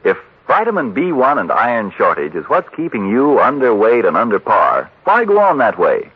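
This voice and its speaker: A man's voice: medium pitch, slightly rough. An American man working as a 1940s radio announcer, with a polished, persuasive scripted sales delivery on a dull vintage broadcast.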